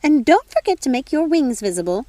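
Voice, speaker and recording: A prim, easily vexed fairy godmother from a storybook. The voice sits well above an ordinary speaking pitch and squeals as it chides. It is effusive and bossy, with very little room sound around it. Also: very animated, fairly quick, dark tone, dry recording. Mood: bossy, cross. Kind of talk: audiobook character voice.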